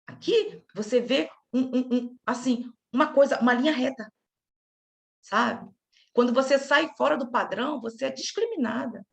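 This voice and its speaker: A woman from Rio de Janeiro with a Carioca accent, leading a casual study group discussion in an animated, chatty way, often breaking off and restarting. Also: high voice, moderate pace, gravelly. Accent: Carioca Brazilian Portuguese. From Rio de Janeiro, Brazil. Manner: animated, chatty, self-interrupting.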